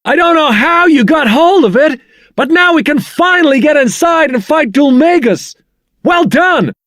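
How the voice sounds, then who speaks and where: An actor playing a hearty, plain-spoken fighting man in a video game, easily excited. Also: extremely high pitch, moderate pace, dry recording, studio quality. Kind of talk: video game character acting.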